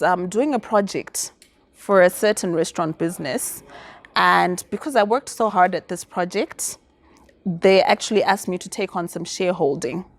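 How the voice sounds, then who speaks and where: A woman's voice: fairly low pitch, no echo. A confident, articulate woman from Zimbabwe, answering interview questions about business and activism. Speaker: guest.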